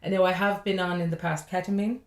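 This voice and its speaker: Irish woman, lowish voice, frank and chatty, echoey room. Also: fairly even intonation, fast. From Ireland. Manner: frank and chatty, with laughing asides. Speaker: comedian guest. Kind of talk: casual interview chat.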